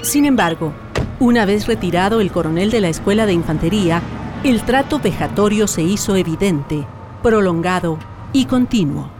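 A woman playing a solemn, measured narrator in a radio drama. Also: mid-range voice, expressive, noisy background, lightly acted. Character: solemn female narrator recounting mistreatment of prisoners.

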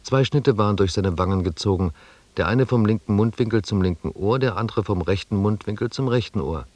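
A man plays a reflective expedition scribe in a radio drama, sober and quietly melancholy. His low voice sounds dry and close, with measured, restrained delivery. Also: dry recording, lightly acted. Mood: sober narrating. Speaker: narrator. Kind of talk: scripted first-person narration in a German radio drama.

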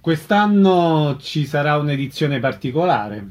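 A man's voice: fairly high pitch, expressive, measured pace. A man hosting an arts programme on the radio, presenting and interviewing guests.

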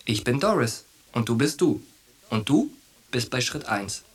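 A man's voice: mid-range voice, sing-song, unhurried, husky. An actor playing a brash, cocky young soldier in a German radio drama, coarse and pushy with his boyhood friend. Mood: coaxing.

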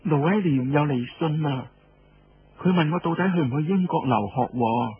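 A man's voice: on the high side, moderate pace, breathy edge. A man voicing an eager, headstrong teenage son of a rebel king in an audio story, pleading and arguing. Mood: curious. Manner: pleading, argumentative. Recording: dull lo-fi audio, faint noise.

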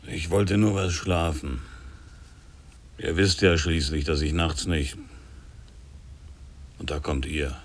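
A huge, ailing sailor who lords it over his shipmates in a radio drama. The voice is very low and husky, and he drags out his sarcastic, self-pitying reproaches. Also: fairly even intonation, slow, long pauses, heavily acted.

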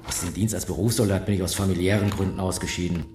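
A man playing a gruff ex-soldier tax official. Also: deep voice, fairly even intonation, fast, roomy sound, noisy background, lightly acted. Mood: curt. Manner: curt, clipped. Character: gruff former soldier tax collector, middle-aged.

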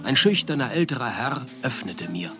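An actor playing a laconic, sardonic private detective who narrates and leads a radio play with deadpan wit. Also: male voice, medium pitch, moderate pace, soft background music. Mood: wry, deadpan. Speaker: narrator and lead.

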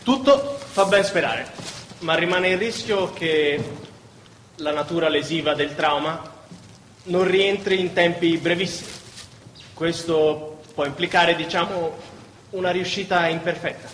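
A man acting on stage as a formal, measured doctor who offers hedged reassurance. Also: on the high side, a bit bright. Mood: measured, reassuring. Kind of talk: live theatre acting. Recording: echoey theatre hall, faint noise.

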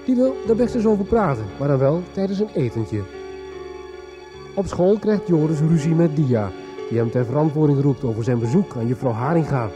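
A man's voice: fairly high pitch, expressive, moderate pace. A man with a Netherlandic Dutch accent reading a scripted recap as narrator of a radio drama serial. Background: music.

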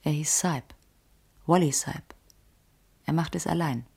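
Low, gravelly, world-weary streetwise woman. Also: measured pace, a little mellow, full character voice. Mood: knowing, matter-of-fact. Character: careworn, streetwise female ex-cop with a tip. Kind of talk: radio drama dialogue, hard-boiled crime.

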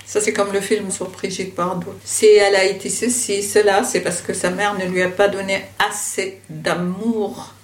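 Older woman, blunt and dismissive, mid-pitched voice in an echoey room. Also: little pitch movement, moderate pace, few pauses, a little hiss or hum. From Syria. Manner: blunt, dismissive, talks over her questioner. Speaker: interviewee, the producer's mother. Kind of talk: candid family conversation.

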